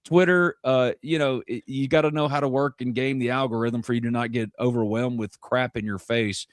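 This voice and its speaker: A man's voice: mid-range voice, steady tone, brisk, no echo, studio quality. Joking man hosting a sports show. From North Mississippi. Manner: joking, rambling, self-deprecating. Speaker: sports podcast host. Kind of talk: casual live sports chat.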